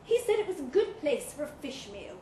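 A keen, sociable man on holiday, as a woman reader puts him on. The delivery is raised in pitch, in an echoey room with noticeable noise. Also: sing-song, brisk, distant mic. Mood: enthusiastic. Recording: echoey room, clearly noisy live recording.

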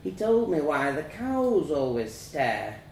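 A man's voice: a little high, very animated, slow pace, gravelly, darkish tone, echoey room, slight background noise, exaggerated voice. A man voicing a frightened, naive little boy with a small, whimpering voice in a told childhood story. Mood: fearful.

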